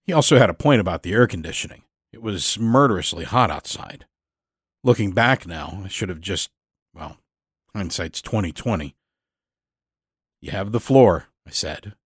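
A man reading scripted first-person fiction as a storyteller, in a measured, dramatic manner. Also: low voice, expressive, fairly bright tone, breathy edge, no room sound, clean studio sound. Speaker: storyteller, podcast narrator.

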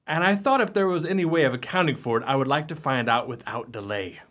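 A man's voice: medium pitch, expressive, fast talker, fairly bright tone, lightly acted. A man acting a blustering, domineering brother in a radio play, emphatic and condescending. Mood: forced cheer. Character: blustering, domineering brother masking unease with forced good humor.

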